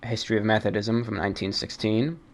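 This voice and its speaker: Low-pitched, even-toned man hosting. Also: fast talker. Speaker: host of a fan mail radio show. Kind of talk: unscripted talk, reading and answering listener mail.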